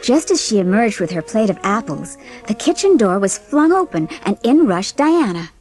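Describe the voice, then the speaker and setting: A woman narrator reading a scripted storybook in a measured, expressive way. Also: medium pitch, soft background music.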